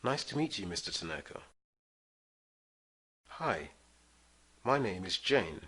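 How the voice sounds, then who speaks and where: A man reading out English phrases slowly for learners to listen and repeat, as a language-lesson teacher. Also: sits low, sing-song, bright, forceful tone, breathy edge.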